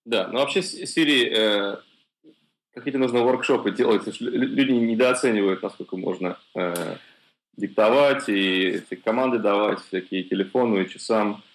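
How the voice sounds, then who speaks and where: A man's voice: lowish voice, fairly quick. A man co-hosting a casual tech podcast, asking questions with stammers and restarts. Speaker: podcast co-host.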